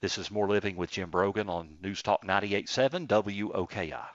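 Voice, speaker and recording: Low-voiced fifties man hosting radio. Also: brisk, no echo, muffled recording. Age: 50s. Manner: relaxed conversational, frequent filler words. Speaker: radio show host. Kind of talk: radio talk show conversation. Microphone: studio microphone.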